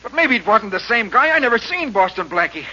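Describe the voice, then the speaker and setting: An actor playing a frightened small-time crook in a 1940s radio drama, slangy, anxious and pleading. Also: male voice, high voice, very animated, fairly quick, sharp tone, exaggerated voice. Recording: muffled 1940s radio recording.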